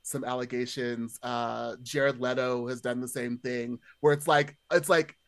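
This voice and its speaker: A man's voice: mid-range voice, steady tone, measured pace, dry recording. A man in casual podcast conversation, chatty and hesitant, padding his thoughts with lots of filler words. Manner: chatty, hesitant, many "like" hedges. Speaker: podcast panelist.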